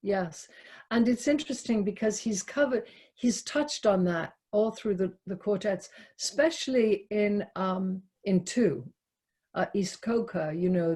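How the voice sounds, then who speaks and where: A woman's voice: on the low side, dark tone. A woman leading a reflective discussion with an audience, speaking hesitantly and repeating words and phrases for emphasis.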